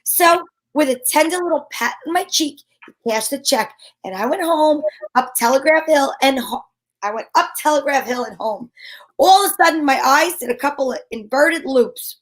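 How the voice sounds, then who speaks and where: A high, girlish-pitched female gumshoe of old-time radio, acted by a woman. She is wry and breezy, with a wisecracking way of telling her case and trading lines. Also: animated, normal speed, poor audio. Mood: wry narrating.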